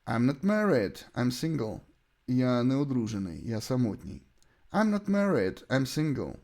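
A man gives a bilingual language lesson as a teacher, with a Ukrainian accent from his native language. His voice sits at an average male pitch but rises and falls very animatedly. He sets out short sentences deliberately, as examples to repeat. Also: big pitch swings, a little mellow. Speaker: English teacher.

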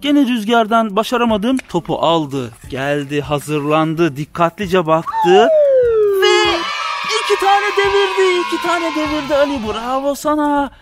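A young man with a high, swooping voice calls a children's game like a sports commentator, slipping from hushed suspense to bursts of excitement. He is recorded outdoors on a handheld camera, with loud music running under his voice. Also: a little slow. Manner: animated sportscaster-style narration, jumping from hushed to excited. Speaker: uncle filming and commentating a kids' game. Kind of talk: playful play-by-play for a children's channel. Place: outdoor paved courtyard. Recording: music playing loudly under the voice. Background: loud background music.

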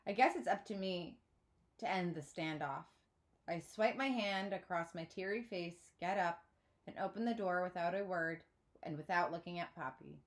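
A woman's voice: lowish voice, moderate pace. A woman in her thirties narrating a children's book expressively, like a teacher reading aloud. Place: small indoor room at home.

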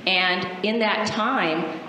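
A woman's voice: medium pitch, very slow. A middle-aged woman from Cuba, a native Spanish speaker, telling a personal story emphatically to an auditorium audience through a handheld mic. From Cuba, moved to the United States. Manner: emphatic, rhetorical questions to listeners. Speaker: panel speaker. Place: large auditorium stage. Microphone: handheld microphone. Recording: very echoey hall, faint noise.

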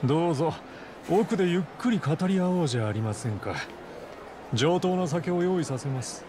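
A man plays a courteous crime boss from a video game, composed and quietly menacing. His formal, condescending speech moves through lively pitch swings, with faint ambience behind it. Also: noisy background, lightly acted. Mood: polite, firm. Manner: formal, polite, measured, condescending. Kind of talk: Japanese video game dub acting.

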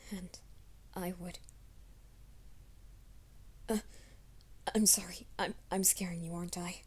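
ASMR roleplay: a woman playing a young werewolf man, hushed and reassuring, slow even delivery. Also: lowish voice, breathy edge. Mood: soft reassuring. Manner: soft, hushed, often whispering. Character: gentle young male werewolf, nervous and reassuring.

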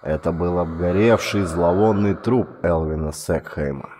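A man from Russia narrating an audiobook story in a dramatic, expressive reading, lifting his voice for quoted speech. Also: sits low, measured pace, a little hiss or hum.

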